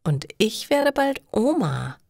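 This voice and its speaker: A woman voicing a caring, practical mother of a teenage girl in a learner's story, gently reassuring and explanatory. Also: on the high side, big pitch swings, slow pace, creaky, no echo, studio quality, voice pushed up, heavily acted. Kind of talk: acted dialogue in a learner's story.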